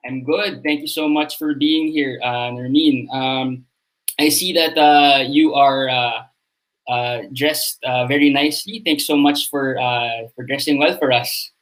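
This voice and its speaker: Halting male host on a video call. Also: medium pitch, fairly even intonation, a bit bright, rough recording. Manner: halting, many fillers. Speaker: interview host. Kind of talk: hosting a video-call interview.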